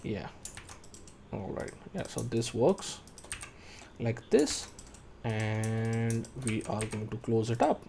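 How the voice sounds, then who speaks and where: A man in his thirties talks through a 3D modeling tutorial, calling out shortcuts and musing aloud as he works. His pitch moves up and down a lot. Faint typing and desk noise sit under his voice. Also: lively intonation, slow pace, vocal fry, pauses often, dark tone. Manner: thinking aloud while working, calling out shortcuts. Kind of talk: screen-recorded software tutorial.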